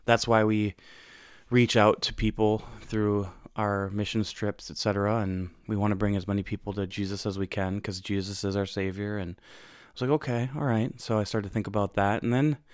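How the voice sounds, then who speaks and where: A man's voice: fairly low pitch, steady tone, moderate pace, clean tone, dry recording, studio quality. A young American man hosting a podcast, telling his own story in a reflective, conversational way with frequent fillers and restarts. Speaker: podcast host.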